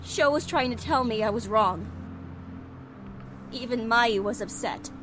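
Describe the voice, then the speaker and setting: Woman acting a high-voiced, upset teenage girl. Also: background noise, lightly acted. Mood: remorseful, upset. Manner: halting, apologetic. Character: distressed, guilt-ridden teenage girl, proud but vulnerable. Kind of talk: visual novel voice acting. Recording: music behind the voice.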